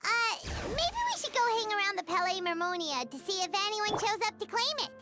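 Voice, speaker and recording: A woman voicing a small, excitable, easily indignant childlike companion creature in a video game, with theatrical exclamations. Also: very high-pitched, brisk, background noise. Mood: chatty. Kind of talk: video game character acting. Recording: clean studio voice over game music.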